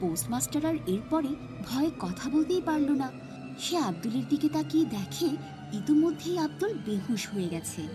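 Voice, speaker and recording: High, animated woman storyteller. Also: fairly quick, music in the background. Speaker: narrator. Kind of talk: scripted children's horror story narration.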